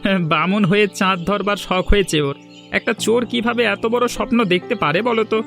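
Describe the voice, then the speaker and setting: Guy playing a bitter sneering brother in a cartoon, high gravelly voice, looks down on everyone. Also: fairly even intonation, brisk, fairly bright tone, soft background music. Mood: mocking. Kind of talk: dramatic cartoon dialogue.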